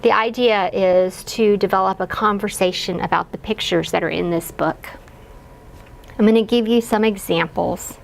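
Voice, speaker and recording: A woman's voice: medium pitch, steady tone, moderate pace, vocal fry, slight background noise. A woman educator giving instructional guidance to parents, explaining and modeling simple questions as if for a young child. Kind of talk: instructional read-aloud guidance.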